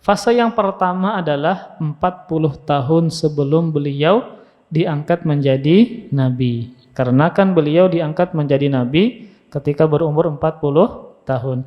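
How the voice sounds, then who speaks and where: Male Islamic lecturer, fairly high voice, instructive and repetitive for emphasis, muffled long-reverb hall sound. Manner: didactic, repeats key phrases for emphasis. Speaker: Islamic preacher and teacher. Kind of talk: religious lecture with Arabic quotations.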